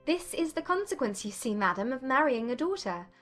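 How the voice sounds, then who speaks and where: A woman's voice: high voice, very animated, fairly quick, a bit bright, faint music behind, pitched above the performer's own voice. A woman voicing a witty, poised young lady in a dramatized audiobook, arch and composed. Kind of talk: dramatized audiobook character acting.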